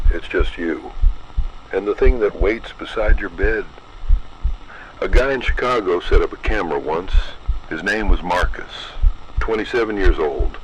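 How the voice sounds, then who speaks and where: Very deep-voiced man narrating horror. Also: fairly bright tone, a little hiss or hum, poor audio. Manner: ominous, deliberate, addresses the listener directly.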